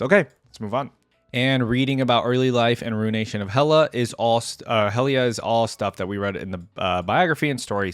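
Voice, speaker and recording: A man's voice: fairly low pitch, dry recording. Casual man with a dry, close sound. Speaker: reaction video host. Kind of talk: casual reaction commentary, sometimes reading aloud.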